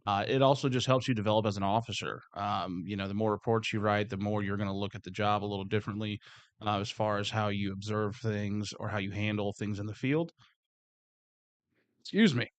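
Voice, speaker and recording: A man with a slightly hoarse voice, speaking as a security supervisor in casual workplace talk, rambling and restarting his words. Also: fairly low pitch, fairly even intonation, fairly quick, no echo. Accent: Midwestern American.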